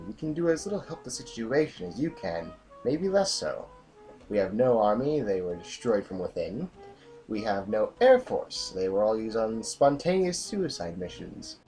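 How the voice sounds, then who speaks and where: An actor playing a stern, disillusioned military general in an audio drama, with a blunt, brooding, commanding manner. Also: male voice, fairly low pitch, darkish tone, low-quality recording. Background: music.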